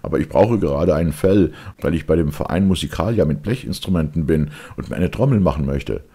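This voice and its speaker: A man voicing a callous, quick-tempered village man who talks in a brusque, scolding way. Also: rumbling low, dark tone, breathy edge, exaggerated voice.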